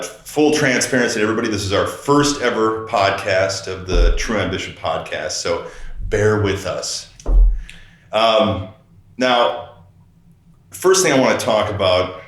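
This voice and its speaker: A man's voice: lowish voice, a little slow, fairly bright tone. A man hosting a podcast in casual, informal interview chat, joking with his guest. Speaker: podcast host. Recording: roomy, echoey sound.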